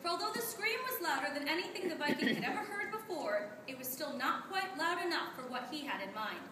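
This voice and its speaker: A woman's voice: sits high, expressive, fast. A woman narrating a scripted puppet-show story as its storyteller. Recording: echoey room, noisy, distant mic.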